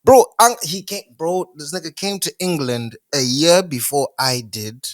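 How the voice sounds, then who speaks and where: A man's voice: on the high side, measured pace. A Zimbabwean man co-hosting a podcast, chatting casually and expressively as he tells stories, slipping between Shona and English. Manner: animated, expressive storytelling. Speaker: podcast co-host.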